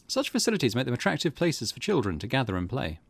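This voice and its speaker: A man's voice: medium pitch, huge pitch swings, quick pace, creaky, breathy edge, dry recording. A man hosting a gaming video, reading out a visual novel as narrator. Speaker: gaming host.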